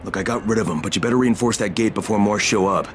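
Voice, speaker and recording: A tough, wry undercover operative, acted by a man in a video game. His voice is low and blunt, with slight background noise. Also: fast talker, close to an everyday voice. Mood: matter-of-fact. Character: gruff, weary male undercover operative, reluctant enforcer. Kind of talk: video game cutscene acting.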